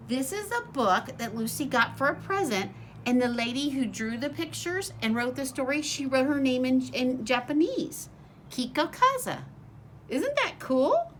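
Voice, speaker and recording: A woman teacher reading a picture book aloud to young children in an expressive, simple, child-directed way. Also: high-pitched, slight background noise.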